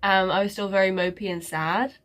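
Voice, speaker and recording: A woman's voice: medium pitch, steady tone, brisk, fairly bright tone. A woman vlogger giving a casual life update, her nose stuffy and congested, rambling and sarcastic about herself. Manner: sarcastic, self-mocking, rambling with many fillers.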